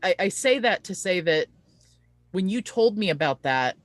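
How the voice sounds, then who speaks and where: A woman's voice: on the low side, animated, normal speed, no room sound. Woman interviewer with a dry, close sound. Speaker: interview host. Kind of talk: conversational interview.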